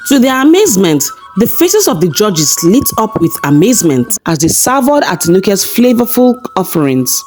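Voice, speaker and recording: A woman's voice: mid-range voice, very animated, moderate pace, few pauses, a little mellow, faint music behind. A woman narrating a scripted folktale as a storyteller.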